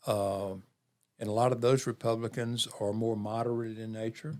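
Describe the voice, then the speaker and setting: Interview guest: man, seventies, lowish voice, reflective and measured, pauses between thoughts. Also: little pitch movement, darkish tone. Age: 70s. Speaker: interview guest, community program leader.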